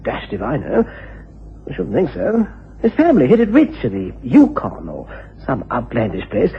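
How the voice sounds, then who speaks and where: An actor playing an anxious young upper-class English student in a radio drama, stammering and fussy. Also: male voice, medium pitch, wildly swooping pitch, moderate pace, gravelly, slightly rough, heavily acted. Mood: haughty, chatty. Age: young adult. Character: flustered, anxious young aristocratic male college student. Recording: muffled, hissy old radio recording.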